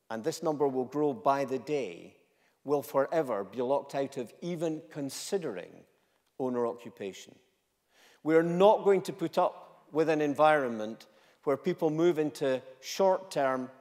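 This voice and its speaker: Impassioned Scottish man in a big hall. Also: medium pitch. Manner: rhetorical, repeating lines for emphasis, swinging between loud and quiet. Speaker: chief executive giving a conference speech. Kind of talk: impassioned conference keynote speech. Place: large conference hall stage. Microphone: stage microphone, walking freely. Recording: long hall reverb.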